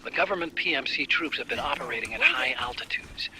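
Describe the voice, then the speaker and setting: An actor playing a support operator giving a soldier a brisk, informative mission briefing. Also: male voice, fairly high pitch, crowd noise, natural voice, low-quality recording. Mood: urgent.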